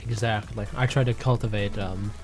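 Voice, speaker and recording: A man's voice: on the low side, fairly even intonation, moderate pace, over music. A man getting over a cold, chatting casually with a viewer and thinking aloud as he plays through a livestream. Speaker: game streamer. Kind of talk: casual livestream chat while playing.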